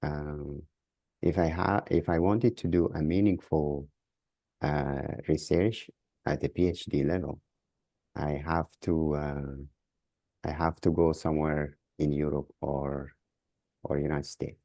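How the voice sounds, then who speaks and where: A man from Sudan with a Sudanese accent, answering as a podcast guest in a halting way, repeating words as he searches for phrasing. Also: rumbling low, steady tone, dark tone, dry recording, studio quality. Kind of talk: interview answers.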